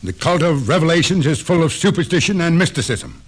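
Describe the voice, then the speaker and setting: An indignant senior astronomer in an old 1950s radio play. His voice is husky and fairly high for a man, and he speaks in a curt, formal, defensive way. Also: animated, a little fast. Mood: indignant, stern. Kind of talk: 1950s radio drama acting. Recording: vintage 1950s radio broadcast.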